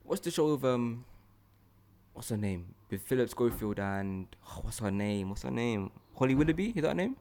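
A British man with a London accent hosting a casual podcast, talking in an animated, slangy way and repeating himself for emphasis. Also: mid-range voice, moderate pace. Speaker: podcast host.

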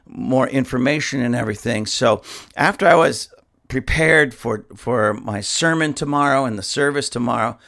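A hoarse male pastor rants on his podcast, indignant and emphatic, repeating himself for force. His voice is gravelly, with fry at the ends of phrases, and sits at an average pitch for a man. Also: normal speed, fairly bright tone. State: dusty, hoarse throat. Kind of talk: impassioned podcast commentary.

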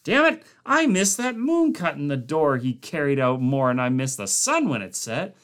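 Male reader plays a blustery cook, raised and loud, lots of swing in the voice. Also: fairly high pitch, very animated, normal speed, voice pushed up. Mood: grousing. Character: gruff, grumbling middle-aged male camp cook.

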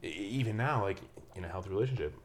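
Laid-back man, somewhat low voice. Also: expressive, fast talker, a little hiss or hum. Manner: laid-back, reflective, frequent swearing. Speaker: podcast host. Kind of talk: casual conversational chat.

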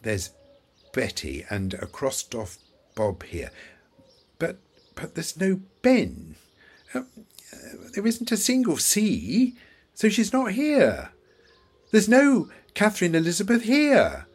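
An actor playing an elderly, forgetful father in an audio play, confused and wistful, halting and searching for his words. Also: sits low, lively intonation, husky, full character voice. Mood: distressed. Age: older. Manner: halting, searching, repeats himself.

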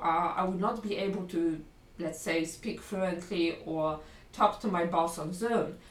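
A woman with a Polish accent, a language teacher giving a lively, encouraging video lesson and often correcting herself mid-sentence. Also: medium pitch. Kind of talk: video lesson talking to learners. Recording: roomy sound from a distant mic.

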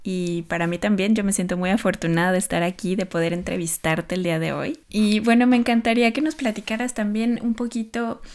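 A woman hosting a podcast, asking a guest questions in a gently inquisitive way. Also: mid-range voice, steady tone, a little fast, hardly any pauses. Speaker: podcast host. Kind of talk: interview hosting.

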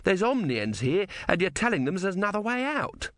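Guy doing a sneery suspicious young lad for an audiobook, indignant, pitched up and jumpy, very dry. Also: big pitch swings, brisk, fairly bright tone, dry recording. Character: Ern, suspicious, sneering young nephew of a philosopher.

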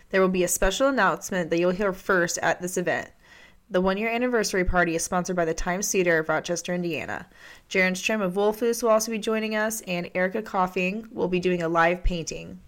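A woman hosts a podcast in a voice a little lower than most women's. She delivers announcements as though reading them from prepared notes. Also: fairly quick. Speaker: podcast host.

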